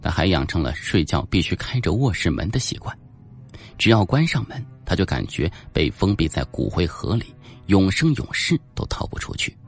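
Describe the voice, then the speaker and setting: A man with a low, gravelly voice reads a suspense novel aloud as an audiobook narrator. His delivery is dramatic and animated, moving widely in pitch, over faint music. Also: moderate pace.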